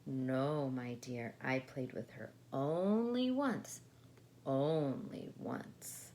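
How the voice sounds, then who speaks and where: A woman voicing a patient, affectionate old grandmother in a children's storybook, gentle and measured. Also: extremely low pitch, heavily acted. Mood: kindly. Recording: faint background noise.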